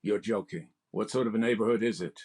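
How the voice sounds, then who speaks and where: Formal old-radio showman, low husky voice, announcer polish. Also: fast talker, a little mellow. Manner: smooth formal announcer delivery. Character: grand, resonant male radio showman host. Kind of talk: old-time radio comedy recreation.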